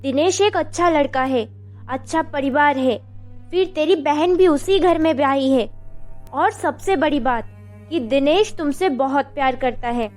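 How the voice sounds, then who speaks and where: A woman voicing a high-voiced, caring mother. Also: a little slow, darkish tone. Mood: gently persuasive. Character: caring middle-aged mother, gently persuasive. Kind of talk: Hindi animated melodrama dialogue. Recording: music bed behind the voice.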